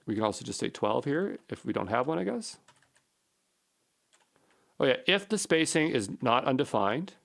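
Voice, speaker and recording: A man's voice: mid-range voice, sing-song, moderate pace, creaky, pauses often. A man giving an unscripted coding walkthrough as an instructor, thinking aloud and muttering short repeated phrases as he puzzles things through. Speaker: programming instructor.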